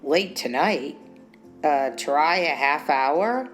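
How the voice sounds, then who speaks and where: Easygoing, slightly gruff male love interest, played by a female narrator, hint of gravel, romance audiobook. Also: low voice, lively intonation, slow pace, soft background music. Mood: teasing. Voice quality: edge of gravel. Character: gruff but friendly Midwestern boyfriend, busy travelling man.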